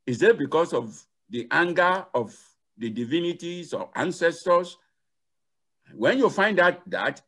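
An older man speaks as an academic guest on a video call. His voice sits fairly high and swings widely in pitch. He explains emphatically, repeating key phrases for weight. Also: fairly bright tone. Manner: emphatic, repeats key phrases, asks and answers own questions. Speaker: university lecturer, guest expert. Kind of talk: explanatory interview talk. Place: indoor room at home. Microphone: headset on a video call.

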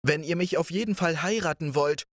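Man doing a young princess in a storybook, polite but firm, higher voice, dry sound. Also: fairly quick, no echo, close to an everyday voice. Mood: reasoning. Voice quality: polite, reasoning, raised pitch. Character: proud, clever young princess.

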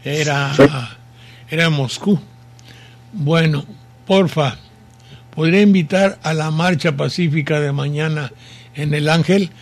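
An older man with a Mexican accent hosting a radio show, reading listener messages in a rambling, folksy way, with a sore throat. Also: fairly high pitch. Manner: rambling, folksy, slow and unhurried. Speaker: radio host. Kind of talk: casual on-air commentary reading messages. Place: home study with bookshelves.

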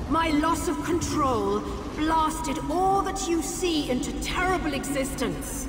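A woman's voice: high-pitched, lively intonation. A woman playing a tormented, powerful mage in a fantasy video game, solemn, theatrical and menacing. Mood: menacing anguish. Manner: slow, solemn, theatrical delivery. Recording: long echoing reverb under loud music.

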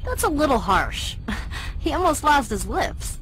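A woman playing a sensible, wry American teenage girl heroine in an animated video game. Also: high-pitched, unhurried, soft background music, heavily acted, poor audio.

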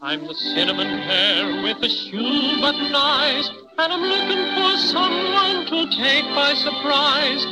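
A boastful little bear in an old radio show. He sings in a very high, unhurried, theatrical voice over loud music. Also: lively intonation, exaggerated voice, poor audio. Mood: playful, boastful. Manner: theatrical, sung, rhyming. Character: boastful little teddy bear singing his theme. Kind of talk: 1930s radio novelty song over music.

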